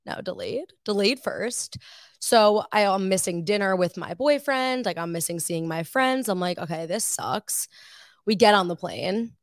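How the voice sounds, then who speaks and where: A woman's voice: mid-range voice, a little slow, no echo, clean studio sound. A woman in her twenties from Long Island, co-hosting a podcast in casual chat and telling stories with frequent laughs. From Long Island, New York. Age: 20s. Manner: chatty storyteller, laughing often. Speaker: podcast co-host.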